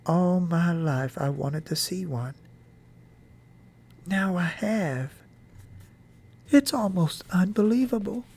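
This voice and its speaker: A narrator voicing a gentle, devout country mother with a tender, breathy, awed manner in a storybook. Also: medium pitch, slow, pauses often. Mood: hushed awe.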